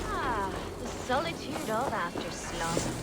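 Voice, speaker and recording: A woman's voice: a little high, sing-song, measured pace, heavy background noise. An actress playing a flirtatious, wry, bloodthirsty Viking warrior woman in a video game, with a teasing, playful delivery.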